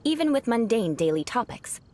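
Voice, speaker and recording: A woman's voice: on the high side, sing-song, fairly quick, darkish tone. A woman playing a proud young aristocratic knight in a video game, with a haughty, formal, courtly way of speaking. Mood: lecturing. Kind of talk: dramatic video game voice acting.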